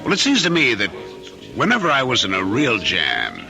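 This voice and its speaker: A man's voice: medium pitch, huge pitch swings, moderate pace, creaky, music in the background. An actor playing a resentful, insecure middle-aged man in an old-time radio drama. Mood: nostalgic, confiding.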